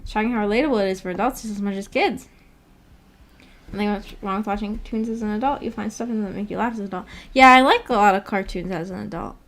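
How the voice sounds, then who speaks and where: A woman's voice: mid-range voice, fast talker, a bit bright. A young adult woman chatting casually on a livestream, rambling informally and reading viewer comments aloud as she goes. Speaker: VTuber livestreamer.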